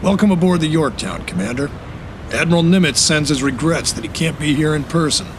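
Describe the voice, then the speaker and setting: A man's voice: on the high side, lively intonation, brisk, natural voice. A man playing a courteous, authoritative senior navy admiral in a video game cutscene. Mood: formal, welcoming. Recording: noisy outdoor ambience.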